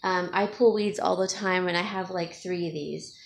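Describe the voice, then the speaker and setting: A woman's voice: lowish voice, fairly even intonation, moderate pace. Chatty woman vlogging from across a room. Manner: rambling, chatty, with fillers and small laughs. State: sniffling from allergies. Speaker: home stager and DIY vlogger. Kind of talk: casual chat to camera. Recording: echoey room, distant from mic, faint hiss.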